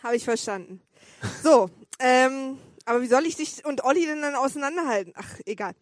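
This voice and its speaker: A woman's voice: sits high, normal speed, no room sound, close to an everyday voice. A woman playing a flustered, dramatic client who briefs boy detectives in a comic audio play. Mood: impatient. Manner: dramatic, impatient.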